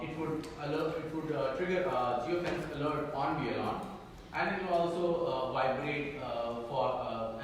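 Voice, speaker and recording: A man's voice: mid-range voice, a little hiss or hum. A man presenting at a conference in a hotel ballroom, with many fillers and restarts as he explains a case. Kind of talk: conference talk with Q&A. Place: hotel conference room on stage. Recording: echoey hall, far from the mic.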